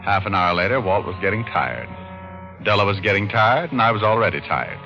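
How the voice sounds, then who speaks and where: An actor playing a wry, wisecracking hard-boiled private detective in a 1940s radio noir, dry and deadpan. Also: male voice, lowish voice, brisk. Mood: dry narration. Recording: muffled old radio recording, music behind.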